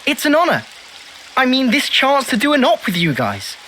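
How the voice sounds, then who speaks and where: Eager, high-voiced young soldier. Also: male voice, huge pitch swings, normal speed, sharp tone, slight background noise, full character voice. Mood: starstruck, excited. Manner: earnest, hesitant. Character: eager, nervous young male soldier who idolizes heroes. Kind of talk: game cutscene voice acting.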